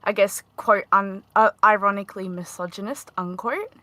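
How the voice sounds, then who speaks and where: A woman with a high-pitched voice talks in a halting, casual way, full of fillers and restarts. Her tone is bright and forward. The sound is dry and close. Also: dry recording. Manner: hesitant, many fillers and restarts. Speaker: panel guest. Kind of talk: casual panel discussion.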